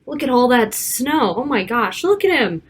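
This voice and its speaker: A woman reading a picture book aloud to children, expressive, with casual asides about the pictures. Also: high-pitched, normal speed, a little hiss or hum. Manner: child-directed, expressive, stretching key words and pointing out pictures.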